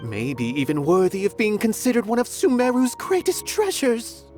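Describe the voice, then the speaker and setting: A woman's voice: a little high, wildly swooping pitch. A woman voicing an aloof, condescending theater philosopher in a video game, pompous and musing. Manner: pompous, musing, deliberate phrasing. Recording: faint music behind the voice.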